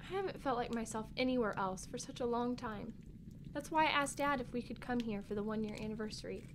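A woman playing a sensitive, excitable young girl, a grieving little sister, in a family audio drama, with a childlike, openly emotional manner. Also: a little high, fairly quick, background noise, lightly acted. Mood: sad, confiding.

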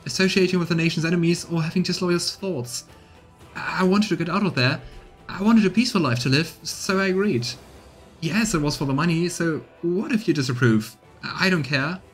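A man's voice: a little high, animated, a little fast, faint music behind, voice pushed up, natural voice. A man voicing a panicked, defiant captured assassin in a fantasy game, stammering and pleading. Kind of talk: voiced fantasy game dialogue.

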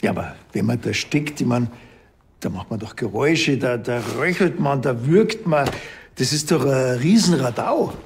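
A man's voice: medium pitch, animated, normal speed, darkish tone, slight background noise, close to an everyday voice. An actor playing a dry, laconic policeman with a Bavarian accent. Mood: dry, sceptical. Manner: laconic, colloquial. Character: dry, blunt police officer.

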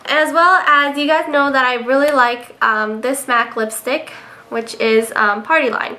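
Young woman, nasal from a cold, bright tone, a bit high, chatty with lots of ums, webcam in a bedroom. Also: fairly even intonation, a little slow. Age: young adult. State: sick, nasal. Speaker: beauty vlogger. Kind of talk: casual product review. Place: bedroom indoors.

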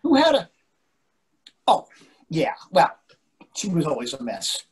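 A man's voice: on the high side, wildly swooping pitch, measured pace, slightly rough, exaggerated voice. An older man reading the part of an anxious, bossy husband in a stage play, fretful and full of questions. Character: anxious, bossy, needling husband in long marriage. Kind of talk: readers theatre play reading. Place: indoors on a video call.

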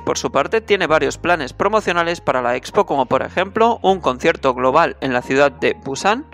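A man's voice: a little high, a bit bright. A man hosting a scripted entertainment news roundup, addressing viewers directly as a presenter. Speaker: host of a Korean entertainment news channel. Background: music under the voice.

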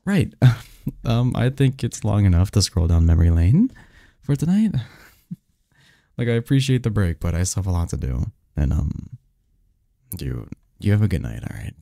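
Snarky bookish male research assistant played by a man for ASMR roleplay; deep, gravelly, wry, fond, chuckling under his cynicism. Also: very animated, warm tone, dry recording, studio quality, full character voice. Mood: fond, teasing. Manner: wry, halting and chuckling, drifts into bookish lecturing. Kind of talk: intimate ASMR audio roleplay.

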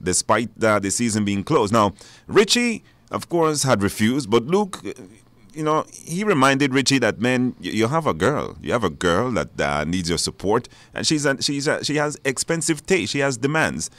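A man hosting a live radio phone-in, speaking haltingly and repeating words. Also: mid-range voice, very animated, a bit bright, no echo. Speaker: radio talk show host. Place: radio studio.

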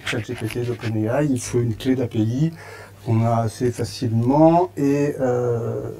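French male presenter, casual, hesitant delivery, warm low tone. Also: measured pace. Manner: hesitant, many fillers and restarts. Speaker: meetup presenter. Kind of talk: casual conference talk. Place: meetup room with audience.

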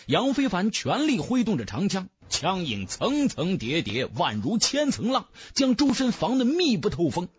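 A man's voice: high voice, huge pitch swings, normal speed, few pauses, breathy edge, no echo. A man narrating a fantasy novel in a theatrical, emphatic style, as a scripted audiobook.

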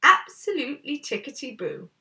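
A woman's voice: high voice, sing-song, normal speed, bright, forceful tone, voice pushed up, heavily acted. A woman voicing a cheerful, evasive male bear boatman from a storybook, waffling and politely reassuring. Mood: breezy, reassuring. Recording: slightly distant camera microphone.

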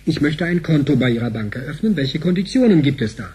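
Cheeky, excitable young tinkerer, radio drama, husky and raspy, slightly high voice, slangy storytelling. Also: male voice, fast talker, exaggerated voice. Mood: excited. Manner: animated storytelling, slangy with English bits. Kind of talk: German sci-fi radio drama dialogue.

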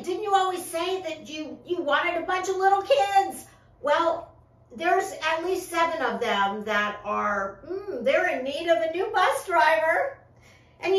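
A middle-aged woman hosting a casual commentary vlog with a theatrical, sassy, drawn-out delivery. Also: high voice, a bit bright. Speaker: host of a crime and fashion commentary show. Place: small furnished room, indoors. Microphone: far camera microphone. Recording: echoey room, distant microphone.

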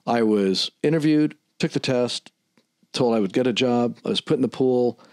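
A man's voice: mid-range voice, normal speed, darkish tone, no echo. A middle-aged man talking casually in a podcast discussion, close to the microphone. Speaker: podcast co-host discussing aviation. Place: small office studio, indoors. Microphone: close podcast mic on boom arm.

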